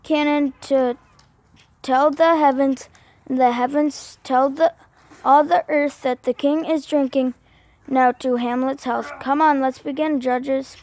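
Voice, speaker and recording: A woman playing a commanding king in an amateur home video, reciting haltingly and stumbling over words. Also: sits high, fairly even intonation, a little mellow. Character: male king, scheming and commanding.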